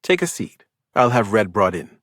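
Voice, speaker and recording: Calm explanatory asylum doctor, voiced by a narrator, low, dry-voiced, audiobook. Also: male voice, moderate pace, dry recording. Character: male hospital doctor giving a calm tour.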